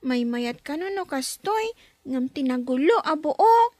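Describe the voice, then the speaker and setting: A woman's voice: high voice, big pitch swings, a little slow, a little mellow, no room sound. A woman playing a curious little girl. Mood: chatty. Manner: inquisitive, talks up to the adults. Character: young girl in a nanny's care, chatty and curious. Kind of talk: Ilocano radio drama acting.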